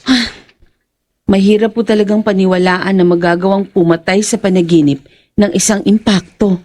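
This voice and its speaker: An actress playing a worried, respectful young daughter in a horror radio drama, anxious and questioning. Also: female voice, medium pitch, steady tone, normal speed, darkish tone, natural voice.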